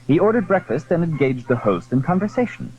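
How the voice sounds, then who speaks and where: A man narrating a literary adventure story in a measured, scripted storytelling style. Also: a little high, big pitch swings, darkish tone, slight background noise. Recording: dull lo-fi 1980s audiobook.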